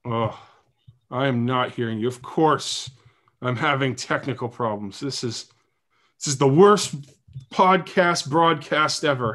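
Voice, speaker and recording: A man's voice: medium pitch, a bit bright. Chatty joking male podcast host. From New Hampshire. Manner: joking, chatty, thinks aloud. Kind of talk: casual political chat on a livestream.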